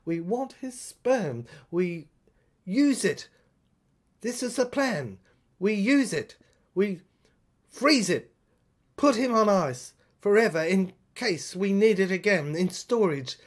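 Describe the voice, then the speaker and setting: A woman's voice: mid-range voice, lively intonation, slow pace. A woman voicing an imperious, frustrated Amazon in an emphatic, insistent audio drama delivery. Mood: cold, insistent.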